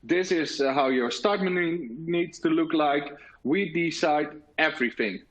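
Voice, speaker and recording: A man's voice: on the high side, unhurried, lo-fi sound. A man with a Dutch accent, a native Dutch speaker, presenting earnestly at a virtual conference. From Netherlands. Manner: earnest, many filler sounds and restarts.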